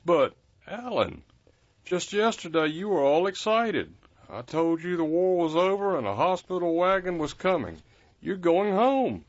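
A man's voice: high voice, sing-song, unhurried, no echo, full character voice. A man voicing a blind caretaker who is folksy, patient and kind. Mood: earnest. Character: blind male caretaker, gentle and wry. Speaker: nurse to wounded soldiers. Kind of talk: audio drama acting. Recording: muffled lo-fi recording.

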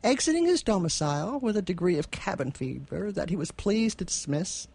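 A woman co-hosting a radio theatre show, chatting casually and reading literary prose in a measured, expressive way. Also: on the low side, vocal fry, slightly rough, no room sound.

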